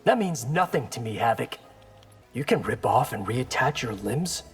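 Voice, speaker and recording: Man as a lively, brash young ninja. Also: mid-range voice, moderate pace, soft background music, close to an everyday voice. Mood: defiant. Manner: cocky, sardonic. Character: brash young ninja, defiant reformed gangster son. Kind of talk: video game voice acting.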